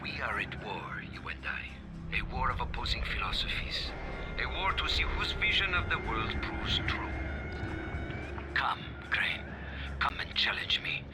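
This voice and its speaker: A man playing a menacing warlord villain in a video game, speaking in a grandiose, formal, mocking way with a breathy hiss. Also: medium pitch, very animated, a little slow. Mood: taunting. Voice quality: breathy, hissing half-whisper. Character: menacing theatrical male warlord villain, philosophical and taunting. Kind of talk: video game cutscene acting. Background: loud music.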